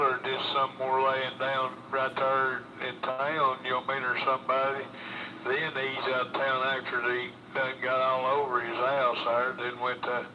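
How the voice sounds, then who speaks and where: A man with a Southern US accent rambling in a folksy, colloquial way in casual chat over CB radio. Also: mid-range voice. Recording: dull CB radio transmission, loud static noise.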